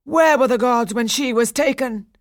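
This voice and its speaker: A woman voicing a gentle, passionate young male shepherd in a read-aloud storybook, moving from tender whispers to anguished cries. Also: deep voice, moderate pace, gravelly, breathy edge, no room sound, studio quality, exaggerated voice. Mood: anguished outcry.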